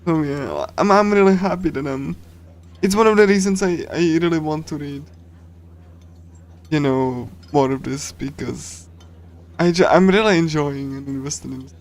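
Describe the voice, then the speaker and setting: Casual male livestreamer, higher-toned, reading and commenting on a game in a loose, rambling manner. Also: normal speed. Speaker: streamer.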